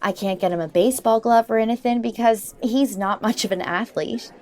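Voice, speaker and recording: A woman's voice: fairly high pitch, a little fast, a little mellow, lightly acted. A woman playing Veronica, a haughty teenage girl with a drawl, in 1940s radio comedy style. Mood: disdainful.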